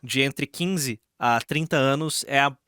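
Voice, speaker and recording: A man's voice: mid-range voice, measured pace, bright, forceful tone, smooth, no room sound, clean studio sound. A Brazilian man doing casual live reaction commentary as a streamer, slangy and sarcastic, often repeating his phrases.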